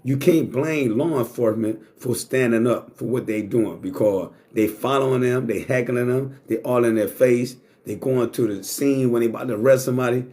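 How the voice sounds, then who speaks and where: A man's voice: medium pitch, normal speed, few pauses, a little mellow. Blunt streetwise man swearing freely. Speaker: talk show host. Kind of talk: casual monologue to live chat.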